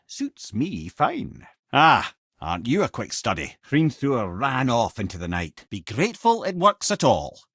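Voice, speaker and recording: An old Scottish talking head from a fantasy game, wise and wry. His pitch swings freely as he speaks like an eloquent, avuncular storyteller, in a close, dry recording. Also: fairly bright tone, slightly rough, dry recording, heavily acted. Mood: wry, conversational. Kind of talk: video game character acting, storytelling.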